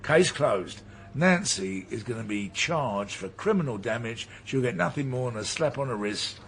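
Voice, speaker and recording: An actor playing a world-weary, dogged older police detective with a blunt, pressing manner in a radio drama. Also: male voice, mid-range voice, expressive, normal speed, a bit bright, slight background noise. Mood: weary, wry. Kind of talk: naturalistic radio drama acting.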